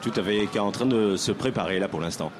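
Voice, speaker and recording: A man who usually commentates sports, speaking live on an outdoor radio stage, halting and full of fillers. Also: lowish voice, noisy background.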